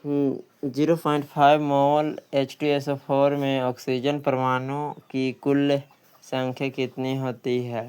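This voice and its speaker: A man's voice: mid-range voice, monotone, takes it slowly, a little mellow, clear, resonant tone. A man working through practice questions as a coaching teacher, reading each one out and repeating questions and answers.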